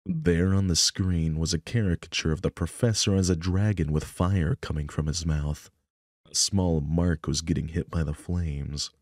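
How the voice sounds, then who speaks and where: Young man, narrator, visual novel reading, deep pitch, dry close recording. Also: steady tone, moderate pace, darkish tone, no echo. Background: music.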